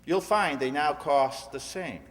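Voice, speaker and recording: A man playing a 1950s radio cigarette pitchman, selling emphatically in the style of a vintage advertisement. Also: measured pace, close to an everyday voice. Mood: salesman-like. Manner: emphatic, salesman-like. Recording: reverberant hall.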